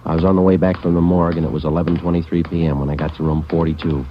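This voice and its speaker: An actor playing a terse, hard-boiled police detective who narrates a 1940s radio crime drama in a clipped, flat, matter-of-fact way. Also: male voice, low voice, rapid-fire, a little hiss or hum, natural voice. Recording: dull old radio broadcast recording.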